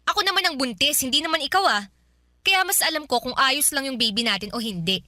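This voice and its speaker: High-pitched, hot-headed young Filipina girlfriend. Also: lively intonation, quick pace, bright, forceful tone, dry recording. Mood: defiant, dismissive. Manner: snappy, argumentative. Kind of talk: Filipino radio drama acting.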